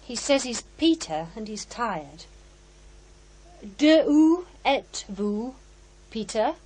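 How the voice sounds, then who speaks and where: An actress playing a blunt, unflappable investigator in a horror radio drama, matter-of-fact and wry. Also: female voice, a little high, sing-song, slow, darkish tone, breathy edge, exaggerated voice. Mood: firm, probing. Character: blunt, unflappable female investigator who translates French.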